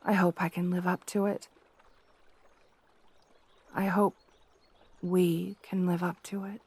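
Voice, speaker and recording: A woman's voice: lowish voice, flat delivery, takes it slowly, a little mellow, husky, full character voice. A woman playing a shy, devoted young princess in a romantic ASMR roleplay, hesitant and deferential, often stammering. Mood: tender, hopeful.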